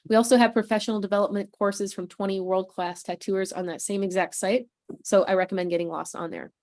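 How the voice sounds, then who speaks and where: A woman's voice: mid-range voice, fairly even intonation, brisk, a little mellow. A woman hosting a casual livestream on a video call. She is chatty and upbeat and tired after a convention weekend. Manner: chatty, upbeat, clears throat between announcements. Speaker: host.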